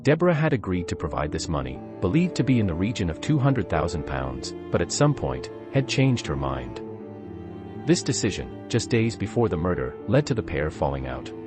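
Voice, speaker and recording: Man, very deep voice, scripted documentary narration, music behind. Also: very animated, normal speed.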